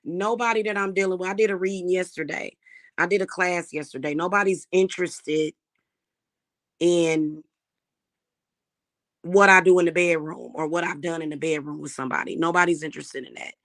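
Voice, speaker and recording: Slightly hoarse woman chatting to viewers. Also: on the low side, fairly even intonation, moderate pace, fairly bright tone. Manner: chatty, defiant, addresses viewers as y'all. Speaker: spiritual reader and channel host. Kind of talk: casual talk to viewers.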